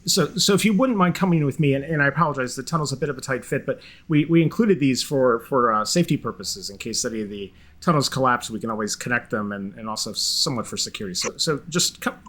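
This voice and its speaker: A man's voice: mid-range voice, expressive, quick pace, hardly any pauses, fairly bright tone. A man voicing a nervous young male dwarf miner who stammers and apologizes. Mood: apologetic.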